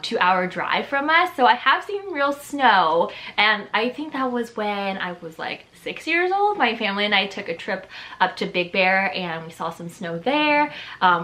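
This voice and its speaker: A woman's voice: medium pitch, expressive, normal speed, bright, forceful tone. A woman in her late twenties, whose first language is Chinese, chatting to camera in a casual vlog, upbeat and full of "like" fillers. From Southern California. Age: 20s. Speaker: vlogger.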